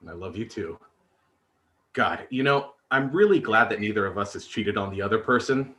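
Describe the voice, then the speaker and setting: A man's voice: on the low side, animated, normal speed, fairly bright tone. A man acting a flustered, awkward middle-aged office-working husband and dad in a comedy script read, hesitant and sheepish. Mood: hurt, subdued.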